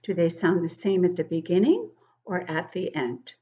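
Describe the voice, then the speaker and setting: An older woman giving an interactive read-aloud lesson for young children, encouraging and expressive, asking questions and explaining things simply. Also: on the low side, husky, lo-fi sound. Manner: encouraging, asks questions and pauses to praise answers. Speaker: children's book club teacher reading poems aloud.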